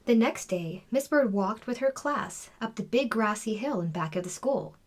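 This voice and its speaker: A woman's voice: fairly high pitch, expressive, moderate pace, slight background noise. A woman reading a children's storybook aloud as scripted narration. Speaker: children's book reader.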